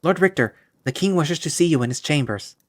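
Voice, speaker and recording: A man's voice: fairly high pitch, expressive, brisk, pitched above the performer's own voice. A man doing a stiff, proper castle servant. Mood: formal. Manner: curt and proper.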